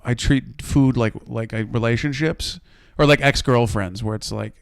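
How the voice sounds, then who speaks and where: An American man with a slightly hoarse voice, co-hosting a podcast with casual, profane, self-deprecating storytelling that rambles. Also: medium pitch, normal speed, dry recording, studio quality. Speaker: podcast co-host. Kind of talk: casual comedic storytelling among friends.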